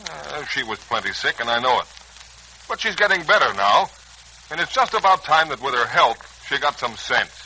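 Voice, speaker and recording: Hot-headed young husband, sharp, bright voice. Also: male voice, mid-range voice, sing-song, moderate pace, heavily acted, poor audio. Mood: sullen, defiant. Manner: belligerent, bullying, sarcastic. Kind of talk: 1940s radio serial acting. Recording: old radio recording, faint hiss.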